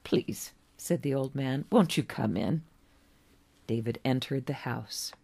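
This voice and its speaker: A woman's voice: extremely low pitch, takes it slowly, gravelly, mellow, slightly rough. A woman author narrating her own story in a storytelling style.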